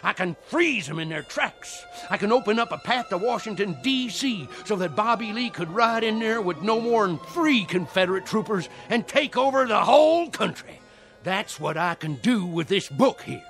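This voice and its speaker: A man's voice: high voice, expressive, normal speed, fairly bright tone, soft background music. An actor playing a fervent old Southern conjure man in a radio play, with a folksy, theatrical rustic way of talking. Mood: fierce, boastful. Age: older. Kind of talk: dramatic radio play acting.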